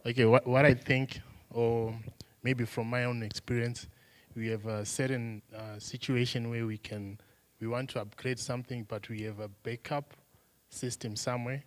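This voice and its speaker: A man's voice: medium pitch, level tone, moderate pace. Man answering from experience in a workshop. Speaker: workshop participant.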